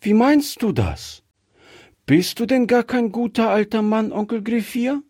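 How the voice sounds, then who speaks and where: Casting: eager, innocent little storybook girl played by a man, lifted high pitch, lively swings, inquisitive and earnest. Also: unhurried, slightly rough, no echo, clean studio sound, heavily acted. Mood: puzzled, earnest. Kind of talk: acted storybook dialogue.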